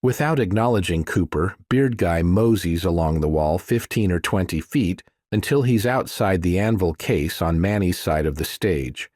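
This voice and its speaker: Male audiobook narrator, low-pitched, dry sound, wry measured delivery for action thriller prose. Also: animated, darkish tone, no echo. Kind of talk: scripted thriller narration, present tense.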